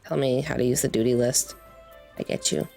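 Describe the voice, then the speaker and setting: Female streamer, low voice, casual self-directed chatter over faint game music. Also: steady tone, a little fast, gravelly, dark tone. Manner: talks to self, sings little filler syllables.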